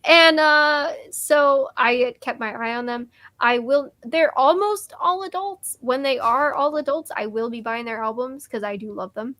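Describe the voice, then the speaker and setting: A young woman chatting eagerly as co-host of a music review, her voice sitting a little high. She gushes and stumbles through restarts and fillers, and her voice is fraying from overuse. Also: a little slow, fairly bright tone, no echo. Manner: enthusiastic, gushing, many likes and restarts. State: losing her voice. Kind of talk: casual chat.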